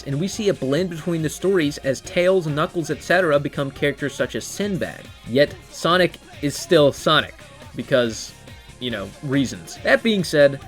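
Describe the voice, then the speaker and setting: A young man giving casual scripted commentary on video games, as the host of a ranking video essay. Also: fairly high pitch. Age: young adult. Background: music.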